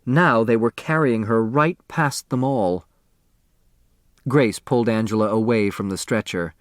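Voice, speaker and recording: A man reading a children's novel as an audiobook narrator, in an expressive scripted storytelling style. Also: medium pitch, unhurried, dry recording, clean studio sound.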